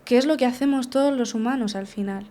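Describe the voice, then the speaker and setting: A woman's voice: a little high, fast, mellow. Female guest, therapist; frank personal storytelling, restart-prone, tag questions.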